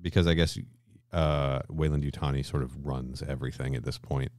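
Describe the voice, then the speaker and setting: A man's voice: a bass voice, fairly even intonation, moderate pace, darkish tone, no room sound, studio quality. A man co-hosting a podcast in a casual discussion style, swearing freely and often checking in with questions. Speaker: podcast co-host.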